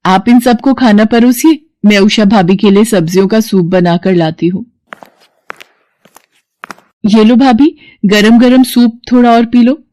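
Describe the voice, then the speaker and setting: Woman as a gentle, dutiful young sister-in-law. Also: on the high side, unhurried, no echo, natural voice. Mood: gentle, respectful. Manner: polite, caring, respectful.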